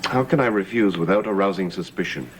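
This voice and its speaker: An actor playing a secretive, self-assured master of a gothic mansion in an old television soap, speaking in a measured, formal, guarded way. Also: male voice, low-pitched, noisy background, natural voice. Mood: defiant. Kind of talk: 1960s-70s gothic soap opera acting. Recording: old TV soundtrack with loud background music.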